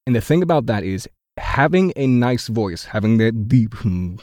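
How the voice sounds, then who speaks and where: A young man with a deep baritone voice, hosting a podcast and giving chatty, self-correcting advice about getting into voiceover. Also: very animated, moderate pace, darkish tone, no echo. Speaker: podcast host at a voiceover studio.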